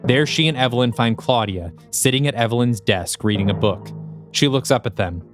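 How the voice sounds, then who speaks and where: Male narrator for action audio drama: medium pitch, vivid scripted storytelling, faint music bed. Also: moderate pace.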